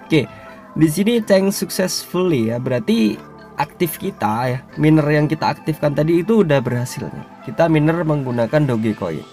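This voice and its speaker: A hoarse man talks through a casual screen tutorial at a middling pitch. He rambles in street slang and keeps clearing his throat. Soft music plays faintly underneath. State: hoarse, unwell. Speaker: YouTuber reviewing crypto sites.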